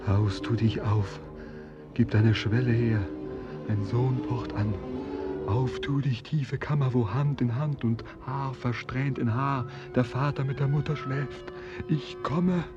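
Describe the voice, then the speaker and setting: An actor playing a melancholy, brooding sailor who declaims in a solemn, poetic, visionary way in a German radio drama. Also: male voice, medium pitch, fairly even intonation, slow, muffled recording, over music, lightly acted. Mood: fervent, visionary. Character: melancholy, brooding male sailor, estranged from life, longing for earth.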